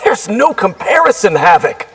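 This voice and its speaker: An actor playing a composed young male ninja fighter, calm and earnest. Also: high-pitched, moderate pace, breathy edge, big-room sound, heavily acted. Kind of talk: fighting game intro dialogue.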